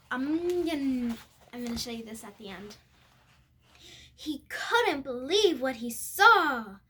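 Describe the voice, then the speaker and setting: A young girl reading a picture book aloud expressively, with halting moments and chatty asides to her viewers. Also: high-pitched, big pitch swings, sharp tone, slight background noise. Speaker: storybook reader.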